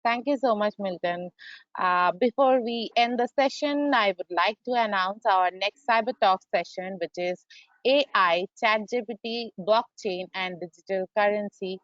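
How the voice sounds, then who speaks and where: A woman's voice: medium pitch, normal speed, a bit bright, dry recording, highs cut off. A woman hosting a webinar, politely wrapping up and making announcements over a video call. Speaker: webinar moderator.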